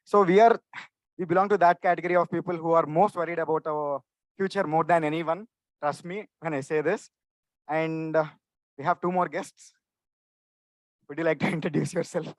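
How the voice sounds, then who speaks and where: A man's voice: on the high side, steady tone, moderate pace, pauses often, dry recording, low-quality recording. A young man hosting a student club meeting, casually welcoming guests onto the stage with friendly encouragement. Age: young adult. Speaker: meeting host.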